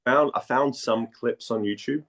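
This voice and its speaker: A man from Denmark chatting casually as an interview guest, speaking haltingly and repeating words as he thinks. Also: mid-range voice, a bit bright.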